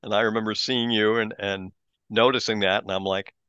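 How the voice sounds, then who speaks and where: A man co-hosting a podcast, chatting casually in a relaxed, explanatory way, on a dull lo-fi mic. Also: lowish voice, a little fast, clear, resonant tone, dry recording. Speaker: podcast co-host.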